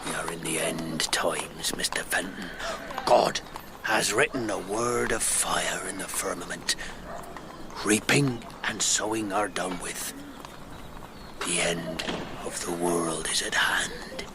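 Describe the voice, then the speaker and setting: A grave preacher of the end times, very low in pitch. He declaims slowly and portentously, with fervour, as in a period radio drama, over clear background noise. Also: steady tone. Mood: grave, foreboding. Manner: slow, portentous biblical declaiming. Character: doom-laden apocalyptic preacher, grave and fervent.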